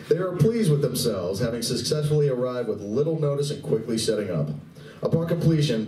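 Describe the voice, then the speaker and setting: A man's voice: mid-range voice, mellow, natural voice. A man narrating a scripted live radio play as a wry storyteller. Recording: roomy live venue, distant mic, faint noise.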